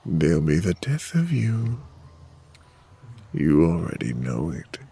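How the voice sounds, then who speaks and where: Slow, creaky, seductive creature. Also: male voice, low voice, huge pitch swings, mellow, heavily acted. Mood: bitter, menacing. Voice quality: creaky, often dropping to a whisper. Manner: slow, insinuating, coaxing. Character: sinister, seductive tendrilled creature posing as a friend. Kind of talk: audio drama acting.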